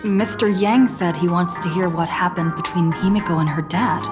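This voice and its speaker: A woman voicing a calm, determined young hero in a video game, quiet and matter-of-fact, with game music behind. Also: fairly low pitch, moderate pace, close to an everyday voice. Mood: resolute.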